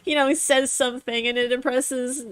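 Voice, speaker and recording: Giggly animated woman telling a story. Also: high voice, moderate pace, smooth, no room sound. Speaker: stream co-host. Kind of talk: casual storytelling chat.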